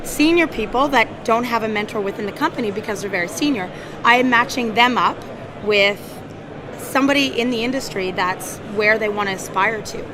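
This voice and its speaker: A woman's voice: on the high side, brisk, a crowd in the background. A woman in HR giving an earnest conference talk, with a scratchy voice, often repeating her words. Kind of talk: conference presentation. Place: conference hall.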